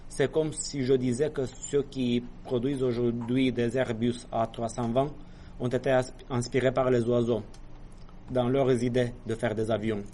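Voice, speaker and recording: A man lecturing in a classroom, his pitch sitting in an ordinary middle range. He explains patiently, breaking in with hesitations and apologetic little jokes. A faint noise hums under the recording. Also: a little mellow. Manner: explanatory, asides and apologetic quips. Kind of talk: university lecture.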